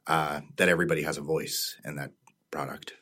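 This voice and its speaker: A man working as an interactive producer, a podcast guest who talks haltingly and repeats words. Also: extremely low pitch, level tone, pushed and bright. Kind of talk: casual podcast conversation.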